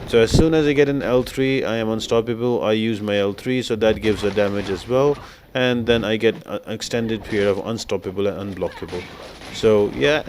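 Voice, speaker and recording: Low-voiced man explaining, noisy recording. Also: a little mellow. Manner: explanatory, step-by-step. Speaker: gaming video host. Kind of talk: casual game guide commentary.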